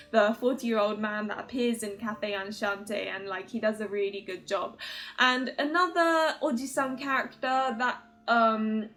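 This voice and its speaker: A woman with a fairly high voice talks casually to her viewers as the host of a fan video. Her manner is giggly and enthusiastic. She rambles informally and is quick to make fun of herself. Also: a bit bright. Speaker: video host, otome game reviewer. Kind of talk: casual chatty commentary to viewers.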